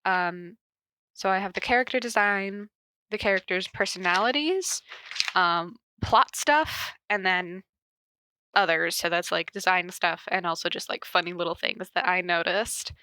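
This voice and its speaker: A woman hosts a casual podcast on her own, drifting from point to point with plenty of filler words. A cold has left her voice stuffy and nasal, yet it still carries a bright, pushed-forward tone. The sound is close and dry, with no room echo. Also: lowish voice, unhurried, smooth. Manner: rambling, chatty, frequent ums and likes. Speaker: podcast host. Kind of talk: casual solo podcast chat.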